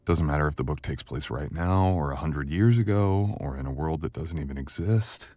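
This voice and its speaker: Man playing a very deep-voiced, confident book editor. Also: no echo. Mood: reassuring, earnest.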